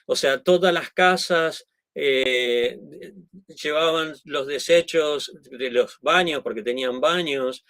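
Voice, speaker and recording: A man's voice: a little high, slow pace, a bit bright, no echo. Argentine guy explaining stuff, stops and restarts a lot, tacks on little questions, dry close sound. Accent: Argentine Spanish. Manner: hesitant, frequent restarts and tag questions. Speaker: guest. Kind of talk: conversational explanation.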